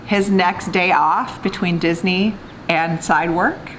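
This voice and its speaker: Female vlogger, lower register, chatty conversational storyteller, noticeably noisy recording. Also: slow pace, a bit bright. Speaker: vlog co-host. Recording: clearly noisy background.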